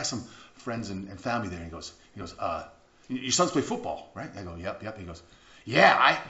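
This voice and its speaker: A man preaching a sermon as pastor, chatty and animated, often restarting his sentences. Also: lowish voice. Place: church sanctuary. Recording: echoey church room, slightly dull sound.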